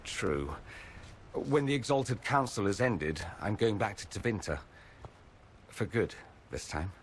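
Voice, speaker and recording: A man's voice: lowish voice, animated, unhurried, a little hiss or hum. An actor playing a flamboyant, witty nobleman mage with an arch, theatrical, dry manner in a video game. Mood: sombre, wry. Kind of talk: video game cutscene acting.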